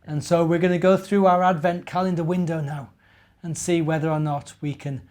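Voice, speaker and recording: A middle-aged man, a minister, telling stories to young children and chatting to them directly with gentle questions. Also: on the high side, level tone, brisk. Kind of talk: children's storytelling and gentle chat.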